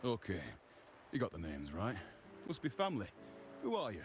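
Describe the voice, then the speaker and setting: Game henchman: senior mob member, gruff, blunt, deep register, hugely varied intonation. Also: huge pitch swings, normal speed, faint music behind. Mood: wary, brusque. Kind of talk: video game voice acting.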